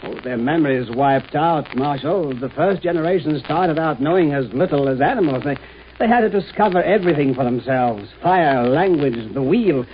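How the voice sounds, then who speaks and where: A man plays an earnest scientist in a 1950s radio drama, anxious and protesting. His voice sits a little high. The vintage recording is dull, with faint noise. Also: expressive, moderate pace, close to an everyday voice. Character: earnest, anxious male scientist professor, pleading his case.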